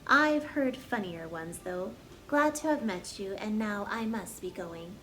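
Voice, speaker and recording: A storybook spider lady, read by a woman, speaks with measured politeness. She is composed and frank, with a dry edge to her courtesy. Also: mid-range voice, a bit bright, close to an everyday voice, low-quality recording. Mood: polite, dry.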